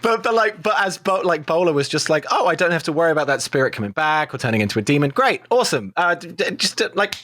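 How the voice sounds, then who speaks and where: An English man with a slightly high voice talks casually among fellow podcast cast members. His intonation moves up and down a great deal, giving the chat a very lively sound. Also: quick pace, hardly any pauses. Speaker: podcast cast member discussing their show.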